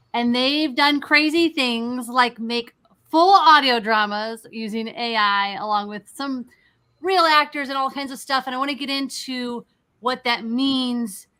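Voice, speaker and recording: A woman's voice: on the high side, sharp tone. A woman hosting a casual interview, talking in a conversational way as she puts questions to guests. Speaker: interview host.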